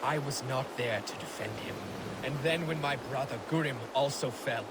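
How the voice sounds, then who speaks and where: A man's voice: mid-range voice, normal speed, natural voice. A man playing a Viking crewman, a wry storyteller in a video game. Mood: grave, regretful. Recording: loud sea and boat noise.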